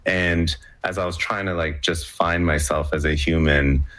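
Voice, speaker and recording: A young man with an extremely low, deep voice talks about his music in a relaxed interview. He hesitates often, restarting sentences and filling pauses as he searches for words. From Hong Kong. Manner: hesitant, many fillers and restarts. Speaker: musician guest. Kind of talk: casual interview talk.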